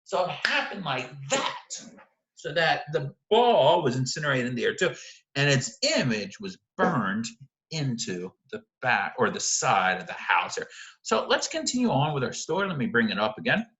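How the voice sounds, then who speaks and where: A man's voice: mid-range voice, sing-song, normal speed, a bit bright, echoey room, muffled recording. A man teaching literature in an informal video lecture, speaking emphatically and often checking in with rhetorical questions. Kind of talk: informal video lecture analysing a short story.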